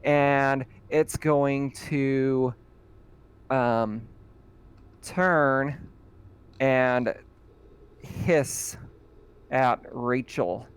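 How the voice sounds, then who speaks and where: A man running a tabletop roleplaying game as game master, explaining rules in a relaxed way with fillers. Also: mid-range voice, drawn-out words, long pauses, smooth.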